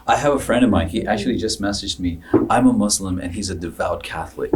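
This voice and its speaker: A man's voice: lowish voice, quick pace, noisy background. A man chatting reflectively on a podcast, telling anecdotes in an earnest way and acting out bits of dialogue. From Africa, later moved to Canada. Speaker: podcast co-host, business founder. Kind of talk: casual reflective podcast conversation. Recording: distant microphone.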